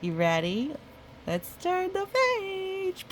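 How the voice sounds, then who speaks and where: Slow, expressive woman storyteller. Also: very high-pitched, slight background noise. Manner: expressive, exclaiming, addresses young listeners. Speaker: picture-book read-aloud host. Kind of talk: children's storybook narration with chatty asides.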